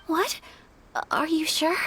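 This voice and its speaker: High-voiced, gracious young noblewoman. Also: fairly even intonation, takes it slowly, sharp tone, a little hiss or hum, exaggerated voice. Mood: courteous. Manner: polite, dainty, earnest. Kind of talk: anime-style video game voice acting. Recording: music behind the voice.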